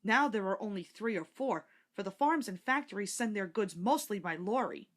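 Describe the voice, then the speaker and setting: Expressive woman narrating, lively pitch. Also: normal speed. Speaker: storybook narrator. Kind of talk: scripted children's story narration.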